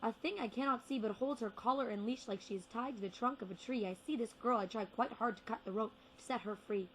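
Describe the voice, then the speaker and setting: A young woman performing spoken word poetry with deliberate, rhythmic phrasing and repeated words. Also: a little high, slight background noise, poor audio. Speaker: slam poet.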